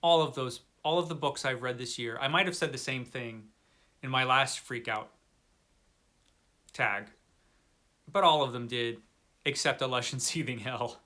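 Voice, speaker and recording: Middle aged guy doing a casual book vlog, bright crisp-edged voice, kinda dry and playful, repeats himself. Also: mid-range voice, a little slow, pauses often. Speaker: book vlogger. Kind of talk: casual unscripted chat to camera.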